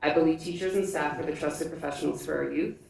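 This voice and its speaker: A woman's voice: deep voice, steady tone, quick pace, gravelly, darkish tone. A woman giving a prepared campaign statement at a forum as a candidate, with formal, scripted delivery. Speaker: school board candidate. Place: indoor public forum room. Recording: echoey room from far off, faint noise.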